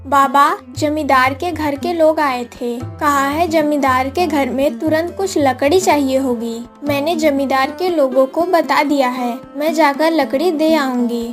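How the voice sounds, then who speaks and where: कार्टून कहानी में गरीब लकड़हारे की विनम्र, ज़िम्मेदार जवान बेटी का किरदार निभाती एक महिला।. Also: female voice, sits high, measured pace, few pauses, soft background music. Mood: earnest, caring. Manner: polite, earnest. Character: dutiful, polite young village daughter of poor woodcutter. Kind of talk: cartoon moral story acting.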